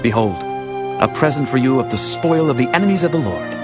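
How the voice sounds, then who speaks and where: Man acting earnest commanding warrior leader in a bible audio drama, big swings in pitch, formal old speech, music under him. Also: expressive, fairly quick, lightly acted. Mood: firm. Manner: declamatory, archaic formal speech.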